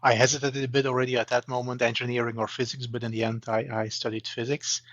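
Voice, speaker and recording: Halting Belgian man with a dull, dry sound. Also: mid-range voice, little pitch movement, fairly bright tone, no room sound. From eastern Belgium. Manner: hesitant, repeats words, many fillers. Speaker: guest, banking AI expert. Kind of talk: interview answers.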